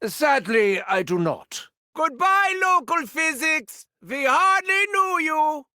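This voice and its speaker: Mad german scientist game villain, bright sharp voice, slow grandiose delivery, mocking and gleefully cruel. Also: male voice, very high-pitched, big pitch swings, no echo, clean studio sound, full character voice. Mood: manic, gleeful. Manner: theatrical, grandiose, archly formal, mocking. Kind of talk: video game character voice lines.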